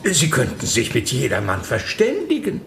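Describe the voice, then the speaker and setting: An actor playing a fawning, stammering archbishop hosting a reception in a radio play. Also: male voice, medium pitch, wildly swooping pitch, moderate pace, gravelly, fairly bright tone, roomy sound, slight background noise, exaggerated voice. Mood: eager. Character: fawning middle-aged male archbishop host.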